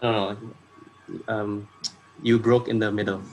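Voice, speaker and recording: Young man, Indonesian accent, low pitch, informal online presenter, earnest, halting phrasing. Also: level tone, a little mellow, a little hiss or hum, rough recording. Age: young adult. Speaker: workshop presenter. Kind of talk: informal online workshop talk. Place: online video call.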